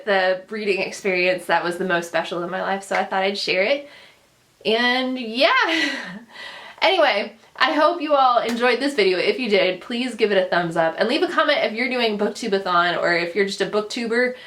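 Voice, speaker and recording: A woman's voice: mid-range voice, normal speed, fairly bright tone. Earnest young woman vlogger, Dutch speaker. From grew up in the Netherlands, then the United States. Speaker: booktuber, vlog host. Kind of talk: casual personal storytelling to camera.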